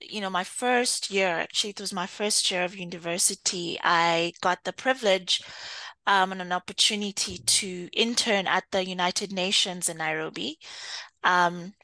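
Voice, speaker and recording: A woman from Kenya being interviewed, speaking thoughtfully with frequent pauses and easy laughs. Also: fairly low pitch, pushed and bright, no echo, rough recording. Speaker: interview guest, data executive. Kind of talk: conversational interview.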